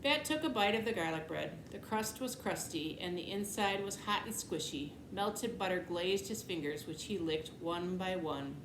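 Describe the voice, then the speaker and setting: A woman reading a children's storybook aloud in an expressive narrating style. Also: on the low side, normal speed, hardly any pauses. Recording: echoey room, clear background noise.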